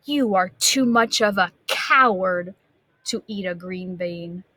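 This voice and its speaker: A woman's voice: lowish voice, slow pace, pushed and bright, no echo, heavily acted. A woman voicing the evil, taunting male leader of a gang of living green beans in a storybook. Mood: sneering, taunting. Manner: taunting, contemptuous.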